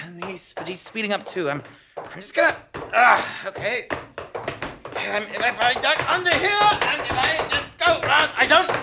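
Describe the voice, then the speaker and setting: High-pitched flustered journalist. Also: male voice, bright, forceful tone, a little hiss or hum, exaggerated voice. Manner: stammering, flustered. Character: excitable, blundering male investigative journalist, easily flustered. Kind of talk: audio drama acting with narration.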